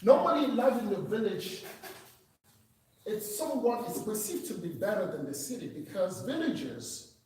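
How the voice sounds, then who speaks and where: A man's voice: high-pitched, big pitch swings, normal speed, darkish tone, a little hiss or hum. A man from Cameroon, giving an earnest, emphatic personal testimony speech at a meeting. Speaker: public speaker. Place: meeting room. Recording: echoey hall, distant mic.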